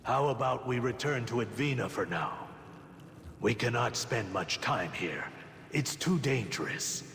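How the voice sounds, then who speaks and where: Grave game ally, reverberant. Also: male voice, medium pitch, animated, a little slow, creaky, a little hiss or hum. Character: grave, courteous male ally explaining dark events. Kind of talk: scripted game cutscene dialogue. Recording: long reverb, loud music behind.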